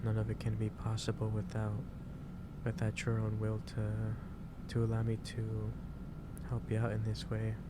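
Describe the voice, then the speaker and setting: Guy doing a kind office boss asmr rp, halting n reassuring, pretty monotone, really noisy recording. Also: on the low side. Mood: earnest. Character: gentle, caring male office boss, softly lecturing. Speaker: ASMR roleplay performer. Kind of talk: improvised ASMR roleplay, one-sided conversation. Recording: steady loud background noise.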